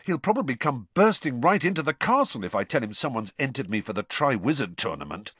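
A man reading an audiobook, voicing a sulky, stubborn teenage boy who sounds sullen and flat. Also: mid-range voice, fairly quick, slightly rough, no room sound, voice pushed up. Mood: dismissive.

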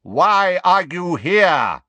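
A man voicing a proud, hostile male centaur with a rough, imperious delivery, in an audiobook. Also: on the high side, expressive, takes it slowly, fairly bright tone, no room sound, voice pushed up. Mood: outraged.

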